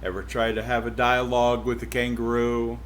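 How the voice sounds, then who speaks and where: A man's voice: mid-range voice, flat delivery, normal speed, a little hiss or hum, close to an everyday voice. A man reading aloud as a witty, digressive female cow narrating her story. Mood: wry.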